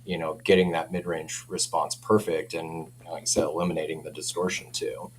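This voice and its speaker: Very deep-voiced man chatting, noisy hum behind. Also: little pitch movement, brisk. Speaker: audio company representative and interview guest. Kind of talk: casual product chat.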